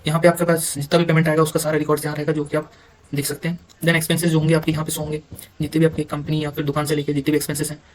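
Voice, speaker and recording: An Indian man giving an informal software walkthrough, halting at times and mixing English terms into his speech. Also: on the high side, monotone, rough recording. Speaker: software demonstrator. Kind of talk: informal screen-recorded tutorial walkthrough.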